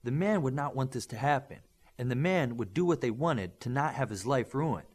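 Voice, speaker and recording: A man voicing a stern, principled instructor who lectures young superhero trainees in measured, emphatic audiobook dialogue. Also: mid-range voice, lively intonation, darkish tone, husky. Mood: stern, lecturing. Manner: emphatic, didactic, measured lecturing with rhetorical questions.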